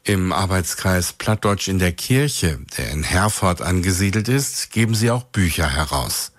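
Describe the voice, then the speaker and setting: A man hosting a radio show, narrating clearly and evenly from a script. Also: low voice, animated, unhurried, no echo, clean studio sound. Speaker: radio host.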